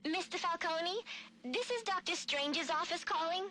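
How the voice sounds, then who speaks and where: A high, bright, sinister receptionist. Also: female voice, a little slow, a little hiss or hum, heavily acted. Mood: polite menace. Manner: courteous, insistent, veiled threat. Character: politely sinister, insistent psychiatrist's receptionist. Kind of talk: dramatic video game phone-call acting.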